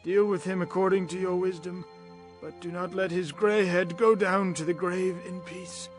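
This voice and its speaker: An actor playing a dying old king in a dramatized scripture reading, solemn, deliberate and commanding. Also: high voice, little pitch movement, soft background music, lightly acted. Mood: grave, stern.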